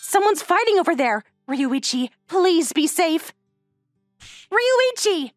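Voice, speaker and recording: A woman voicing an earnest, worried high school girl in an anime-style comic dub, with expressive delivery. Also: slow pace, dry recording, clean studio sound, faint music behind, full character voice.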